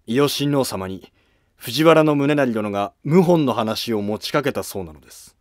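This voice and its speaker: A man's voice: mid-range voice, lively intonation, normal speed, no room sound, clean studio sound, lightly acted. A man playing an earnest Heian nobleman using humble, formal court speech. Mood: urgent, respectful.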